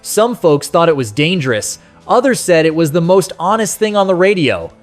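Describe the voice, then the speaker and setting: A man's voice: fairly high pitch, sing-song, moderate pace. A man narrating a scripted nostalgic music countdown as host, speaking to the listener in a conversational, sentimental way.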